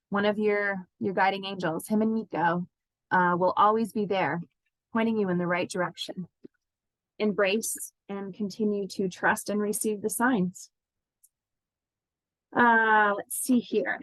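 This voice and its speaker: Woman, medium pitch, spiritual card reader, gentle and reassuring, pausing phrasing, dry sound without room echo. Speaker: intuitive healer giving spiritual messages. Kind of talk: spiritual card reading addressed to named listeners.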